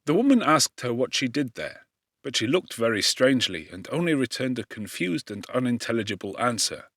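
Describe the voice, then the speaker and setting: A man narrating a classic literary audiobook in a solemn, expressive and measured way. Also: medium pitch, no room sound, studio quality.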